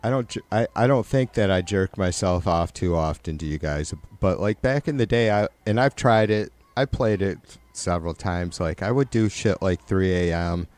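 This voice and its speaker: Low, nasal, raspy man chatting. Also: normal speed, few pauses, darkish tone, no room sound. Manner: rambling, profane, loose. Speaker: livestream host. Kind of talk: casual chat with live viewers.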